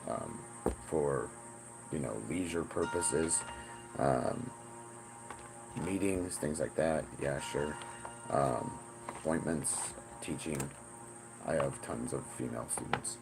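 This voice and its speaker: A man's voice: very deep voice, gravelly, rough recording. A man in his forties talking in a rambling, profane monologue, often repeating himself. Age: 40s. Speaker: self-styled spiritual teacher.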